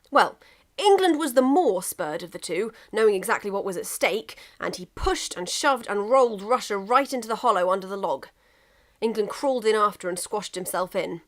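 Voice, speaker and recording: A woman reading a scripted story aloud as an expressive narrator. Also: mid-range voice. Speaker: narrator, fan fiction reader.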